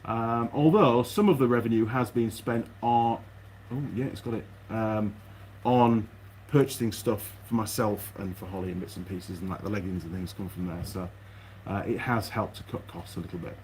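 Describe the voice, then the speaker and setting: A man with a fairly low voice talks off the cuff in a workshop, with faint noise behind him. He is chatty and rambling, thinking aloud as he addresses his viewers. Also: moderate pace, hardly any pauses, a little mellow, rough recording. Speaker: mechanic vlogger. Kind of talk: casual unscripted chat to camera viewers.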